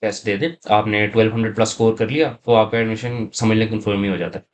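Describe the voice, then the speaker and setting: A man's voice: on the low side, steady tone, quick pace. A young man with a Pakistani accent, speaking as an interview guest in a casual, explanatory way and mixing in English words. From Saudi Arabia, of Pakistani family. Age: young adult. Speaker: interview guest, university student.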